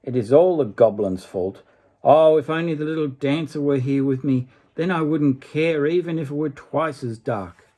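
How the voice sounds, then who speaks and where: A little toy tin soldier from a storybook, voiced by a man, brave but lovelorn and plaintive. The voice is slightly high for a male and husky. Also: moderate pace, warm tone, close to an everyday voice. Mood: worried, wistful.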